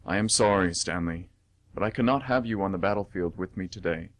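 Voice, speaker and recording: A man's voice: deep voice, a little fast, voice pushed down, close to an everyday voice. A man voicing a solemn, resolute monk warrior in a radio play, speaking in formal, emphatic phrases. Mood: grave, regretful. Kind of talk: radio play heroic acting.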